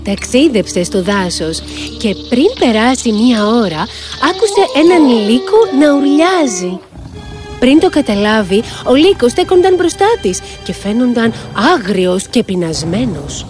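A woman's voice: fairly high pitch, very animated. A woman narrating a scripted fairy tale for children as a storyteller. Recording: music behind the voice.

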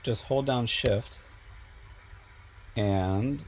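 A man teaching a software class over a screen recording, a little sick and losing his voice, explaining step by step with frequent ums. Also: medium pitch, very slow, a little hiss or hum. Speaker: instructor.

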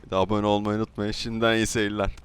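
A man's voice: on the low side, little pitch movement, fast, clean tone. A man doing slangy, confrontational roleplay banter in an online game and talking to viewers. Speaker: YouTube gamer and roleplay troll. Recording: noisy gaming voice recording.

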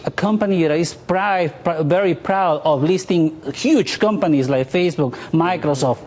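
A man's voice: fairly high pitch, normal speed, darkish tone, slight background noise. A man in his forties speaking as a guest commentator in a live TV news interview. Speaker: author and market commentator guest.

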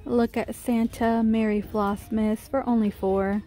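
A woman's voice: on the high side, steady tone, a little slow, mellow. A woman filming a shop-with-me walkthrough in a big store, chatting and gushing over cute finds, recorded on a handheld phone. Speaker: shopping vlogger. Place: large retail store, indoors. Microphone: handheld phone camera.

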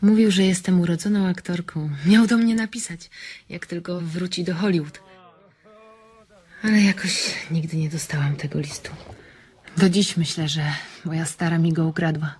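Husky, lonely young farm wife. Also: female voice, on the low side, steady tone, normal speed, darkish tone. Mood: wistful, bitter. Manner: colloquial, coaxing, self-pitying. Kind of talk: radio drama acting.

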